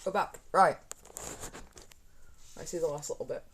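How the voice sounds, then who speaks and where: A woman's voice: low-pitched, moderate pace, a bit bright, slight background noise. A woman chatting casually to her live-stream viewers while repairing a console, talking to herself as she works.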